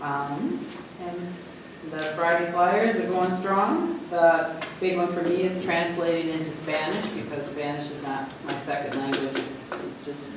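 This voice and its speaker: A man giving a school principal's report at a board meeting, halting with many fillers and restarts. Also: a little high, fairly even intonation. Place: large meeting room. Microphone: distant room mic. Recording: echoey room from far off, noisy.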